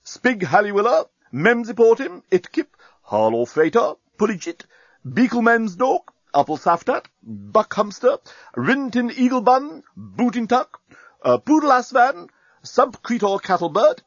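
A man's voice: very high voice, sing-song, unhurried, dry recording, lo-fi sound, voice pushed up, exaggerated voice. Man playing a pompous, commanding alien captain. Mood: formal, eager. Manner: pompous, commanding, formal, defensive. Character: pompous male military captain of alien invaders.

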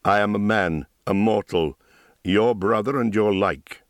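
A man reading an audiobook, voicing a stern but gentle male mage in a low, quiet, measured voice. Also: no echo, clean studio sound, lightly acted. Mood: calm. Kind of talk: audiobook character voice.

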